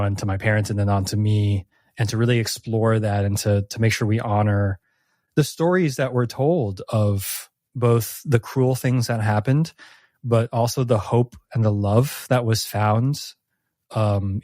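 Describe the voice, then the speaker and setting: A man's voice: fairly low pitch, measured pace, darkish tone, no room sound, studio quality. A man hosting a casual post-game chat as game master, speaking in an earnest, reflective way. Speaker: game master and host of a tabletop campaign podcast.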